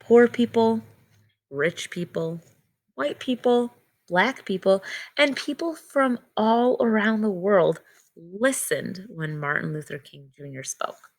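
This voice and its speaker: Woman with creaky voice reading to children. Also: mid-range voice, lively intonation, takes it slowly. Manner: simple, child-directed explaining with short phrases. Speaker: teacher reading a picture book aloud to children. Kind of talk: read-aloud storytelling with simple explanatory asides.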